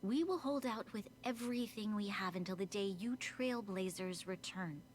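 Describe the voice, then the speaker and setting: Woman as young female game leader, medium pitch, formal, earnest, measured delivery, slight background noise. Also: a little mellow, natural voice. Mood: solemn, resolute. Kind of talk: video game voice acting.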